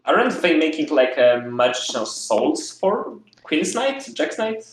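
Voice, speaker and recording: A man's voice: fairly high pitch, big pitch swings, unhurried, echoey room. A man giving his opinions in a casual card game discussion on a group voice chat. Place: online group voice call.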